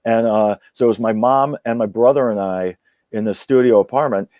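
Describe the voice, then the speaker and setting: A man's voice: fairly low pitch, moderate pace, darkish tone, no echo, muffled recording. A man talking casually as a guest over a video call, rambling with many fillers and restarts. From born in Iran, raised in England and the US. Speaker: guest musician. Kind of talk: casual interview chat.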